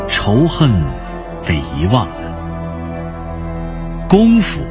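Slow, solemn male drama narrator. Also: mid-range voice, huge pitch swings. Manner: solemn, storytelling, measured. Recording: muffled lo-fi, music bed behind.

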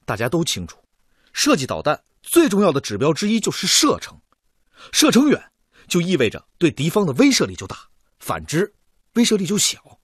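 An actor playing an earnest missile designer in a radio drama, arguing his bold plan to a meeting in an emphatic, deliberate way. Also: on the high side, wildly swooping pitch, breathy edge, dry recording, studio quality, exaggerated voice. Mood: earnest, insistent. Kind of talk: radio drama acting, formal speech to a meeting.

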